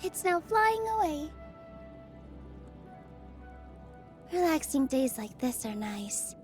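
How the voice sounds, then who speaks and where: A woman voicing a gentle, sunny young dancer in a video game, earnest and encouraging, with a sweet, coaxing manner. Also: high-pitched, very animated, unhurried, faint music behind. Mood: cheerful, coaxing. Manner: sweet, soft-hearted, bubbly, coaxing. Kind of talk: scripted video game character lines.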